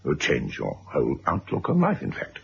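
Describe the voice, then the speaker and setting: A middle-aged man acting a blustering, evasive press magnate in a radio drama, hesitant and wheedling, with a gravelly voice. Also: rumbling low, wildly swooping pitch, fairly quick, heavily acted. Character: blustering, evasive wealthy press magnate, cornered by his past. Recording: dull, noisy old radio recording.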